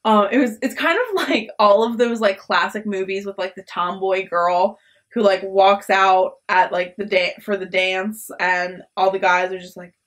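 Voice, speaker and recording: A woman's voice: medium pitch, steady tone, moderate pace, fairly bright tone. Young woman vlogger, chatty storytime, lots of ums and likes, laughs a lot. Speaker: vlog host. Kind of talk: casual storytelling.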